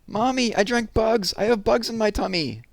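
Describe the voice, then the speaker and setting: Little girl, about six, done by male reader: much higher pitch, whiny, squeamish storybook kid. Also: moderate pace, creaky, no echo. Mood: wailing. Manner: wailing, high-pitched.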